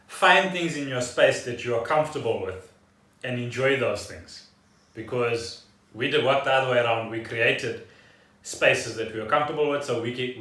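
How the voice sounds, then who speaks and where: A man co-hosting a casual podcast, speaking in halting, deliberate phrases. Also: mid-range voice, fairly bright tone. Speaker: podcast co-host. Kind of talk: casual unscripted chat. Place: echoey room at home. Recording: roomy echo, distant from mic.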